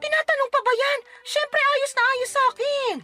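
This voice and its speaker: A woman playing an outspoken, loving grandmother in a radio drama, expressive and firm with her grown daughter. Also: very high-pitched, moderate pace, faint music behind. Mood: reassuring. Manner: teasing yet firm, admonishing, expressive. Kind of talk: Tagalog radio drama acting.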